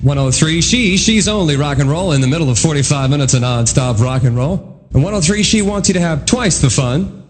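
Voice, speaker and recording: A man hosts a 1980s rock radio show in a fairly high voice. His delivery is punchy announcer patter, with music playing softly underneath.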